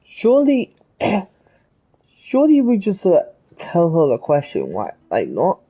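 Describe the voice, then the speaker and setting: Rambling man, high-ish husky voice, swooping pitch. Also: huge pitch swings, measured pace, lo-fi sound. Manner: rambling, hesitant, mumbly. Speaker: game streamer. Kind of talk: casual off-the-cuff game commentary.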